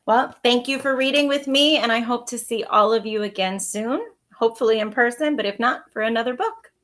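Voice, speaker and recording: A woman's voice: on the high side, fairly quick, a bit bright. A woman who is an elementary school teacher, reading a children's storybook aloud with expression.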